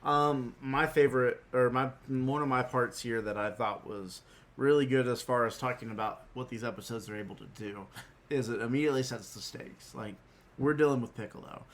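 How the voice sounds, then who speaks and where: A man with a slightly hoarse voice, hosting a podcast and chatting casually. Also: mid-range voice, fairly even intonation, normal speed. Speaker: podcast host. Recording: noticeable background noise.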